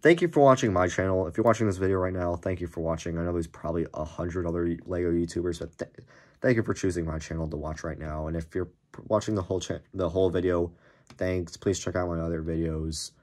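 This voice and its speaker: A young man with a deep, cracked, slightly hoarse voice, rambling casually with lots of fillers while showing off a toy build. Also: steady tone, quick pace, a little mellow. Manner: rambling, many fillers and restarts. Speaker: Lego YouTuber. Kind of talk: casual show-and-tell of a build. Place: small room indoors at a table. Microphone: camera filming hands over a desk.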